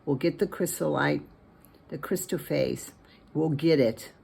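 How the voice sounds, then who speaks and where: Woman as a gravelly, scheming villain chameleon. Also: sits low, a little slow, a little mellow, heavily acted. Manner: menacing, gloating. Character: scheming villainous male chameleon, power-hungry, gloating.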